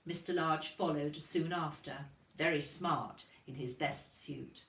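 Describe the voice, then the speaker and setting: A woman's voice: low voice, measured pace. A woman reading a children's storybook aloud expressively, in the manner of a kindergarten teacher. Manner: expressive, addressing young children. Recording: roomy echo, distant from microphone.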